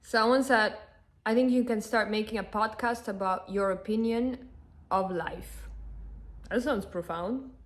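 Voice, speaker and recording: Animated woman, native Italian speaker. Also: medium pitch, a little slow. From Northern Italy. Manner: animated, emphatic, repeats herself. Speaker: content creator. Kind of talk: casual Q&A chat to camera.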